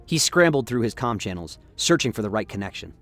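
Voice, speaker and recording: A man's voice: fairly low pitch, big pitch swings, fairly quick, fairly bright tone, soft background music. A man giving scripted, dramatic narration of a story as the narrator of a radio play.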